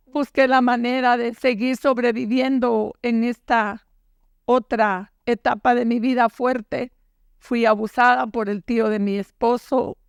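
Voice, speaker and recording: An older woman from Guatemala, recounting her own life story in a quiet, measured, halting way. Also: a little high, creaky, clear, resonant tone, no echo, studio quality. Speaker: guest survivor. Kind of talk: personal testimony.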